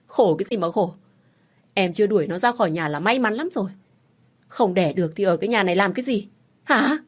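A woman voicing a bitter older mother-in-law who berates her daughter-in-law in a high, loud voice. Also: animated, a little fast, natural voice. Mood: berating. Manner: shrill, berating.

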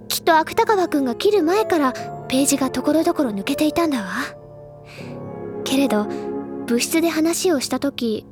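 A high-pitched, bookish teenage schoolgirl from a Japanese audio drama. She is earnest and refined, savoring her words with effusive, gently persuasive phrasing. Music plays clearly behind her. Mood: earnest, thoughtful. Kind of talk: Japanese audio drama, anime-style acting.

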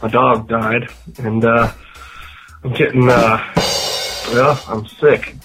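A man's voice: medium pitch, flat delivery, slow, over music, poor audio. A sick man with a nasal voice leaving a rambling, mocking voicemail. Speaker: voicemail caller.

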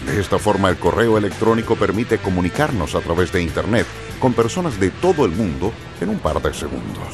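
Deep, animated Chilean male narrator. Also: fairly quick, vocal fry, over music. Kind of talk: scripted educational narration.

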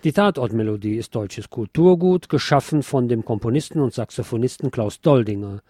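A man narrating a scripted obituary tribute as a radio host, in a measured, formal reading manner. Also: mid-range voice, lively intonation, mellow, breathy edge, no room sound, studio quality.

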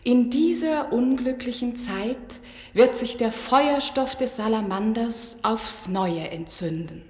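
An actress playing a tender young snake-maiden spirit in a radio fairy tale, solemn, loving and incantatory, with a dreamlike reverb effect. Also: female voice, fairly high pitch, takes it slowly, a little mellow. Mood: solemn, storytelling. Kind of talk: German radio play acting, fairy-tale storytelling. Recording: long reverberant echo, music behind.

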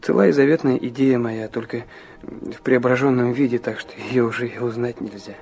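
An actor playing an earnest young man narrating his confessional memoir in a Russian literary radio drama, reflective and dramatic, with pauses. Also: medium pitch, fairly even intonation, creaky, a little mellow, soft background music, close to an everyday voice. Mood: introspective, resolute.

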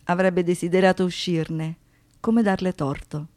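A woman giving scripted dramatic narration as a monologue, in measured, short rhythmic phrases. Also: on the low side, fairly even intonation, a little mellow.

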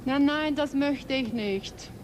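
A woman's voice: high-pitched, slow pace, darkish tone, a little hiss or hum, poor audio. An actress in a radio play playing a worried, reserved woman who is a native Turkish speaker and talks hesitantly. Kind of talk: German radio play acting.

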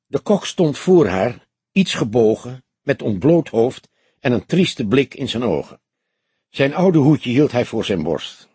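A man reading a crime story as an expressive audiobook narrator. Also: mid-range voice, measured pace, husky, dry recording.

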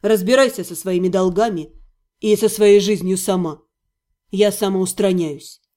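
A woman's voice: medium pitch, dark tone, voice pushed down, close to an everyday voice. A woman voicing a bitter young male necromancer, a jilted fiancé speaking with cold, weary restraint in an audiobook. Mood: contemptuous. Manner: bitter, deliberate, reproachful. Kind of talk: dramatized audiobook dialogue.

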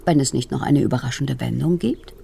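An actress playing a cool, knowing, sardonic investigator in a naturalistic German radio crime drama. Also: female voice, a bass voice, fairly quick, creaky, music in the background, full character voice. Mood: dry.

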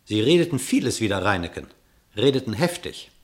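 A man's voice: fairly low pitch, a little fast. A man narrating a verse fable in a radio play, telling it expressively. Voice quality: expressive storytelling, dramatic.

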